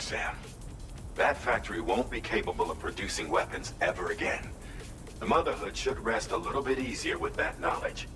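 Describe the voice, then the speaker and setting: An actor playing a measured, cautious leader in a video game cutscene, speaking formally and deliberately. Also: male voice, lowish voice. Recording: echoey, distant, music underneath.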